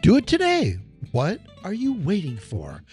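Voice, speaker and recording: A man hosts his podcast with bright enthusiasm, his pitch leaping high and swinging widely as he exclaims. His voice sits fairly high, and soft guitar music plays underneath. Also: huge pitch swings, unhurried. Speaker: podcast host, chef. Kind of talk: upbeat podcast hosting.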